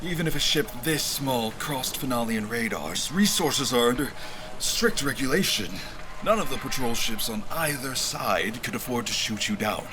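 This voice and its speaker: An actor playing a haughty royal officer in an audio drama, speaking in a formal, imperious, wordy way. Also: male voice, medium pitch, expressive, moderate pace, noisy background, close to an everyday voice. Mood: commanding.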